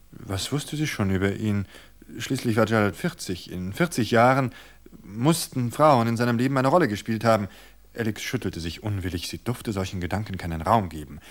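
Male narrator, lowish voice, calm, measured, scripted book reading.

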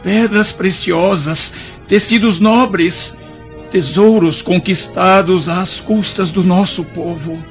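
Condemned rebel chief: high voice, slow theatrical lament, Brazilian accent, muffled radio-serial sound. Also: male voice. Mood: anguished lament. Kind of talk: radio drama acting in a historical serial. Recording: dull lo-fi radio drama, faint music.

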